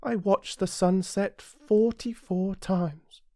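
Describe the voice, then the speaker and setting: A British man voicing the little prince, a wistful, curious small boy in a storybook, speaking in an earnest, gentle, questioning way. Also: sits high, fairly even intonation, takes it slowly, no room sound, pitched above the performer's own voice. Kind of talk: storybook character voice.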